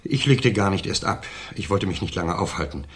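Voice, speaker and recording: Low-voiced, discreet gentleman. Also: expressive, normal speed. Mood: reserved, uneasy. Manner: polite, formal, reserved. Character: discreet, uneasy gentleman companion of the widow. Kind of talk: 1970s German radio crime drama acting.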